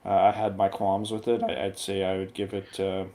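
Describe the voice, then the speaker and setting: A man giving his opinion in a casual film review chat, hesitant and measured as he weighs his verdict. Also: low-pitched, flat delivery, mellow. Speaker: guest movie reviewer.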